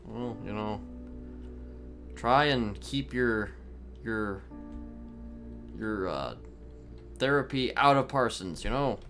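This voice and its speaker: A man playing an eccentric, playfully creepy inmate in improvised online role-play, musing haltingly and repeating his phrases. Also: fairly low pitch, animated, a bit bright, soft background music, full character voice.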